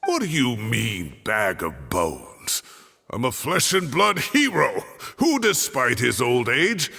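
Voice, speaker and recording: A man's voice: mid-range voice, wildly swooping pitch, slow pace, gravelly, sharp tone, breathy edge, heavily acted. An actor playing a cocky old male scholar who boasts and haggles his way out of trouble. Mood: defensive. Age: older. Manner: boastful, bargaining, pleading. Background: background music.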